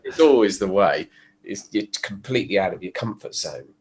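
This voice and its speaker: A man with a stuffy cold, explaining his work in a relaxed podcast chat with fillers and restarts. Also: on the low side, brisk, breathy edge. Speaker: guest, pickup designer. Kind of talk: casual podcast conversation.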